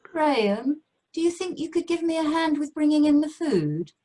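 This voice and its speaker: An amateur actress playing a put-upon, placating middle-aged British wife in a radio play. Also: female voice, high-pitched, expressive, moderate pace, dark tone. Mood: pleading, harried. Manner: harried, conciliatory.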